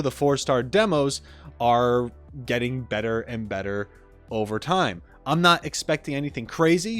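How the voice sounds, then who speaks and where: A man's voice: mid-range voice, fairly bright tone. A man hosting a reaction breakdown as an animator, talking enthusiastically and stressing words for emphasis.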